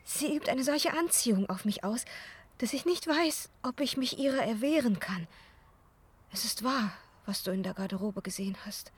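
A woman playing a young, impressionable opera singer, enraptured and fearful, in a breathy, intimate radio drama style. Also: on the high side, animated, normal speed. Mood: fearful, spellbound. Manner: breathy, intimate, slipping into hushed whispers. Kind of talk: German radio drama acting.

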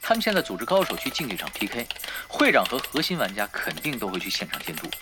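A man's voice: on the low side, huge pitch swings, quick pace. A man playing a laid-back, sly young gamer who teases and schemes in a nonchalant, wry way in an audio drama. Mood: cool, scheming. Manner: nonchalant, wry, dry teasing. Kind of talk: Mandarin audio drama acting, conversational banter. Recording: studio drama with music underneath.

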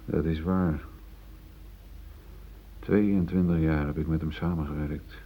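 Radio play: uneasy middle-aged foreman, self-justifying, low bass register, narrow muffled sound. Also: steady tone, takes it slowly, husky, heavily acted. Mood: resigned. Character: newly promoted middle-aged foreman, defensive, conservative, uneasy. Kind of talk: Dutch radio play acting. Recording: muffled phone-like old radio recording.

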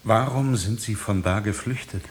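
A man's voice: fairly low pitch, moderate pace, lightly acted. An actor in a radio drama playing a frustrated, sceptical male journalist who speaks in terse questions and commands. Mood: wary, questioning. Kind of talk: German radio drama acting. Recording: loud ambient noise behind the voice.

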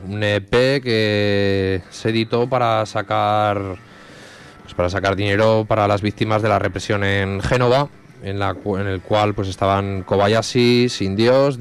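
A man from Spain hosting a local radio show, introducing a song and the guest in a relaxed, rambling way with fillers. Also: lowish voice, moderate pace. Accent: Spanish. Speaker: radio host.

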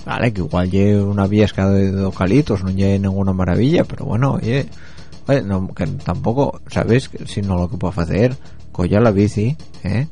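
A man's voice: low voice, normal speed, creaky, warm tone. A man with an Asturian accent hosting a radio show, rambling in a chatty monologue and talking straight to his listeners. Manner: chatty and rambling, addresses listeners directly, many fillers. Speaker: radio host.

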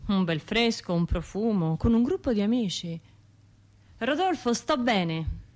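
An actress playing a wounded, bitter bombing survivor in a radio theatre monologue, confiding in colloquial speech. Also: female voice, mid-range voice, lively intonation, measured pace, a little mellow, lightly acted. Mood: haunted, sardonic. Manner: colloquial, confiding, wry, shifting between narration and imagined voices.

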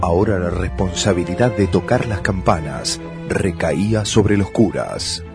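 A man's voice: deep voice, animated, unhurried. A man with an Argentine accent playing a solemn storyteller narrating a comic radio play. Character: solemn male storyteller of a monastery tale. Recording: music behind the voice.

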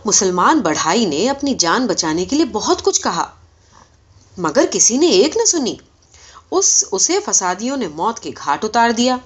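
A woman narrates a story from a script with expressive delivery. Her pitch is mid-range and moves in lively swings. The recording is a little dull and lo-fi. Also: slightly rough. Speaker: audiobook reader.

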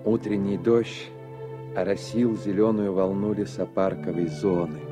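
A man narrating a literary radio drama in a measured, even storytelling manner. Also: low voice. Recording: soft music under the voice. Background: music.

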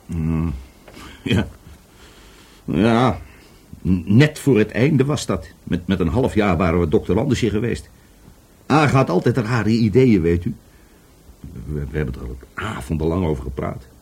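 A middle-aged businessman in a radio drama, evasive and volatile under police questioning. His low, rough voice swings widely in pitch as he stammers, rambles and repeats himself. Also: expressive, a little slow, long pauses, darkish tone, heavily acted. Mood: reminiscing. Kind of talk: Dutch radio play acting.

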